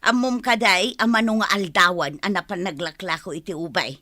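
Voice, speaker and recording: A woman's voice: medium pitch, normal speed, pushed and bright. A woman playing a chatty, scolding villager in a radio comedy drama. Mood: gossipy. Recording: music under the voice.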